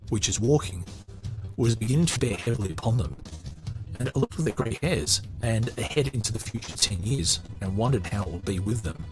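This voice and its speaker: A man's voice: medium pitch, rough recording. A man hosting a podcast, reading a book aloud and giving casual commentary on it. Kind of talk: audiobook narration and casual commentary.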